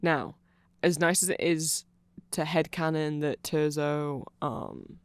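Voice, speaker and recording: An androgynous, medium-pitched voice recorded dry and close, explaining fan lore in a rambling ASMR style. The speaker is amused and enthusiastic, easily flustered, and often doubles back to correct a point or lets a thought trail off. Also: slow pace, smooth, no echo.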